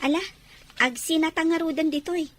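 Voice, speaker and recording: A woman playing a chatty young village friend, expressive and sympathetic, in a radio drama. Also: very high voice, fairly quick.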